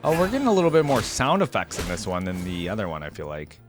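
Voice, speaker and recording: A man's voice: low-pitched, huge pitch swings, quick pace, over music. A man giving casual, informal reaction commentary, rambling along as he talks straight to his viewers. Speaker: reaction video host.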